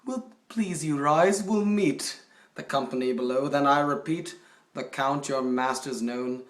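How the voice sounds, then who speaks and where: A man playing a haughty, possessive, coldly jealous duke in a theatrical, deliberate dramatic poetry recitation. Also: mid-range voice. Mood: menacing, forceful. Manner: theatrical, deliberate, swinging from hushed to forceful. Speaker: poetry reader. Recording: roomy echo, distant microphone.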